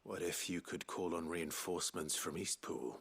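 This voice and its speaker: An actor playing a brooding, resolute nobleman warrior in a fantasy video game, terse and grave, with a husky voice. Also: sits low, normal speed, a bit bright, exaggerated voice. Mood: grave, earnest.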